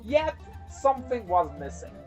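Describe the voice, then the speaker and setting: A woman's voice: a little high, very animated, measured pace, breathy edge. Children's story host: woman, chatty and giggly, widely varied intonation, light music underneath. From Iran. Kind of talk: casual introduction and folktale narration for children.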